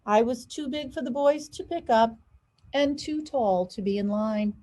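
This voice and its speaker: Female educator, higher pitch, dark mellow tone, expressive picture-book storytelling. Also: unhurried. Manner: expressive storytelling with stressed phrases. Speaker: school superintendent reading aloud. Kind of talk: children's picture book read-aloud.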